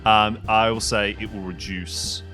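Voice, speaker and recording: A man working as game master, casually improvising narration for a tabletop game in a halting, thinking-aloud way. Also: fairly low pitch, little pitch movement. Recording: music behind the voice.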